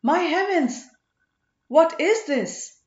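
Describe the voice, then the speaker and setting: A woman's voice: extremely high pitch, expressive, slow, a little mellow, pitched above the performer's own voice, full character voice. Woman voicing a devoted manservant, roomy sound. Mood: alarmed, puzzled. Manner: respectful, emphatic. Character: loyal male servant, plain-spoken, devoted, reassuring. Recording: roomy, slightly dull home recording.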